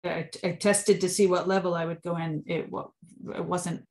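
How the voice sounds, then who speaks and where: Low-voiced woman telling her story. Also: fairly even intonation, moderate pace, a little mellow. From New York, USA. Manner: fluent but full of fillers and restarts, explanatory. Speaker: guest. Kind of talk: interview storytelling.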